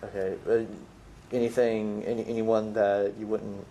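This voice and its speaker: A man as a low-voiced, stammering amateur interviewer. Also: level tone, moderate pace, dark tone, slight background noise, natural voice, low-quality recording. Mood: probing, tentative. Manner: stammering, repetitive, tentative. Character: awkward, probing young male amateur interviewer. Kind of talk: naturalistic found-footage interview acting.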